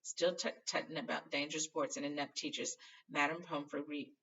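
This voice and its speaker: A woman with a low, bright voice narrates a children's novel expressively. She now and then trips on a word and fixes it, and the recording sounds somewhat distant. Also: moderate pace, highs cut off, slight background noise. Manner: expressive, stumbles over words and corrects herself. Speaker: storybook reader. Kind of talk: reading a children's novel aloud.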